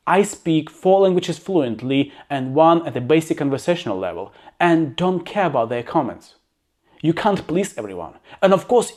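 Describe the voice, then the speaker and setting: A man's voice: fairly high pitch, a little mellow. A man who learned English as a second language reads out facts and adds his own plain-spoken opinions, as a YouTuber. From abroad, living in the UK. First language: not English. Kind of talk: reading facts with personal commentary.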